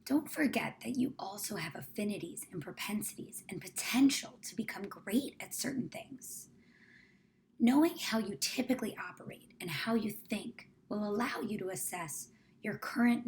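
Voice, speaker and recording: A woman leading a guided meditation in a measured, gentle, often hushed way, as a soothing instructor. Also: medium pitch, rough recording. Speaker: meditation guide, company founder.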